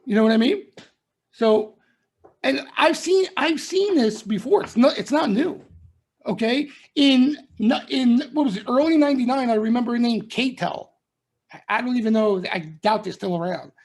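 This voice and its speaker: A man's voice: extremely high pitch, normal speed, a little mellow. A middle-aged man talks to his audience of traders in a members-only broadcast. He lectures in a blunt, emphatic way and hammers each point by saying it again. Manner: emphatic, repeats points, lectures and warns listeners. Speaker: stock trading commentator.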